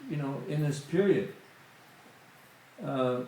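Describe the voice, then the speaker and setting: A man's voice: medium pitch, slow, mellow. A middle-aged man giving a conversational spiritual talk at a temple gathering, full of "you know" asides. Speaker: guest speaker at a temple satsang. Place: temple hall. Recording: very echoey hall.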